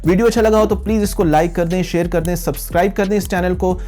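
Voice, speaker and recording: A man's voice: high-pitched, fairly even intonation, fast, mellow, soft background music. A man hosting a question-and-answer tech video, explaining conversationally to viewers and mixing in English phrases. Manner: mixes English phrases into speech. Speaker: host of a tech Q&A channel.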